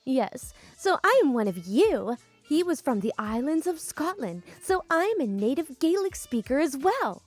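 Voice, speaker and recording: A woman's voice: sits high, very animated, unhurried, few pauses, soft background music, voice pushed up. A woman voicing a pushy, boastful American tourist who speaks with an American accent. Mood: insistent. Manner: eager, insistent and boastful.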